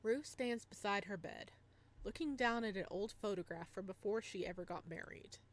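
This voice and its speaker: A woman's voice: mid-range voice, moderate pace. A bubbly, giggly woman hosting a livestream, chatting casually with viewers and reading a visual novel aloud. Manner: bubbly, giggly, rambling reactions to chat and story. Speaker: livestreamer and host.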